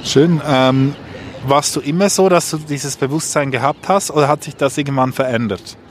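A man hosting an informal street interview, asking questions in halting, searching phrases. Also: medium pitch. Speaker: podcast host, interviewer. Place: outdoors on an urban street. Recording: faint urban street and traffic noise.

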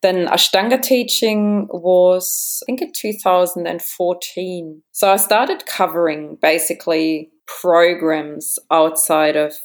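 Lower-voiced German-accented woman in her forties. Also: slow, hardly any pauses. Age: 40s. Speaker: interview guest, yoga teacher. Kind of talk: conversational personal storytelling in an interview.

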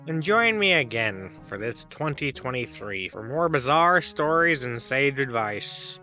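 A man's voice: mid-range voice, big pitch swings, unhurried, pushed and bright, soft background music, full character voice. A man playing a cheerful, deluded god who hosts an advice podcast, in a breezy, theatrical comedic audio drama style. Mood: upbeat, promotional.

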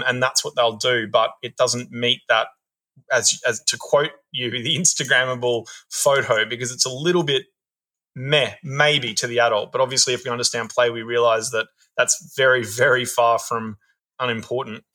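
A man's voice: mid-range voice, fairly even intonation, normal speed, bright, forceful tone, low-quality recording. A man working as a play worker, answering interview questions in an earnest, thoughtful way, often repeating words as he thinks.

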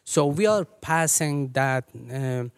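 A man from Afghanistan who leads a ministry, speaking earnestly and measuredly as an interview guest with many pauses and fillers. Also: fairly high pitch, clear, resonant tone, no echo. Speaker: ministry leader.

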